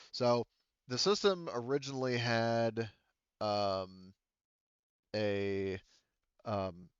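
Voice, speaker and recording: Halting, smooth-voiced man running a tabletop game. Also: fairly low pitch, dry recording, muffled recording. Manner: casually profane; halting, searching for words as they go. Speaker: game master of a tabletop roleplaying game. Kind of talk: improvised, conversational game narration.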